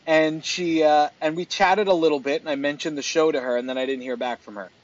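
A man's voice: on the high side, steady tone, a little fast, no room sound. Male co-host, lighter register, casual banter, lo-fi stream quality. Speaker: talk show co-host. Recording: dull lo-fi stream audio.

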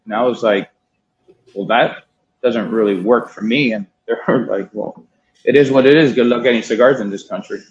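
A man's voice: mid-range voice, moderate pace. A man in his thirties from Miami telling a long story in a casual interview, swearing now and then and quoting others. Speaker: guest, cigar importer. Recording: dull lo-fi sound.

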